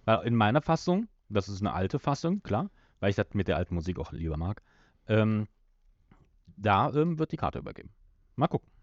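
A man co-hosting a podcast, retelling a story in a casual, semi-scripted way and often halting to correct himself mid-word. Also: lowish voice, very animated, no room sound. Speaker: podcast co-host. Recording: slightly dull, dry lo-fi microphone.

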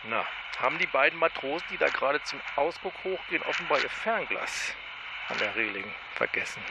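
A man's voice: fairly high pitch, expressive, fairly quick, gravelly, heavy background noise. An actor playing a chatty, bumbling male time agent who has gone on holiday, talking jovially to himself. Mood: leisurely. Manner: chatty, self-pitying, jovial.

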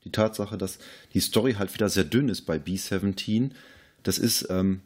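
A man's voice: low voice, moderate pace. A man chats casually about films as a podcast host. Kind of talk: casual film discussion.

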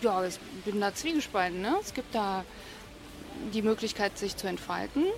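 A woman's voice: mid-range voice, expressive, normal speed, creaky, background noise. A woman giving a spontaneous street interview in a city centre.

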